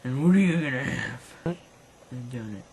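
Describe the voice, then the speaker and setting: Slow, halting amateur puppeteer in noisy recording. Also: medium pitch, animated, a little mellow. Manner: halting, swearing. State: tired. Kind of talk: improvised amateur puppet show dialogue. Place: friend's house late at night. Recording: noisy homemade recording with clicks.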